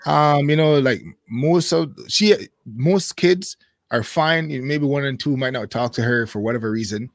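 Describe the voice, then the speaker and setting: A man in his thirties, a guest in a casual interview, speaking thoughtfully with many pauses and fillers. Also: medium pitch, dry recording, studio quality.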